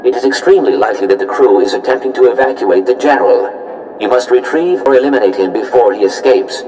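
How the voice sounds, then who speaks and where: A man's voice: mid-range voice, quick pace, a little mellow, soft background music, natural voice. A man voicing a courteous protocol droid in a video game, speaking formally and precisely with deference. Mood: formal, helpful. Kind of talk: scripted video game acting. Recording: roomy echo, clear background noise.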